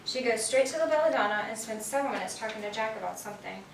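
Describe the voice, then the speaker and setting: A woman's voice: on the high side, expressive, rapid-fire, creaky. A woman playing a poised, formal museum curator in an old-time radio mystery on stage, recorded from afar in a roomy space. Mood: poised, confiding. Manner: formal, composed. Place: theatre stage, recorded from a distance. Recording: echoey stage, distant mic, faint noise.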